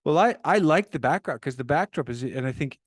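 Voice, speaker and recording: A man's voice: fairly high pitch, fairly quick, no room sound. Guy hosting a podcast, rambly, restarts a lot, dry close sound. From Canada. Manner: rambling, animated, self-interrupting. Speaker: podcast host. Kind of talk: conversational interview.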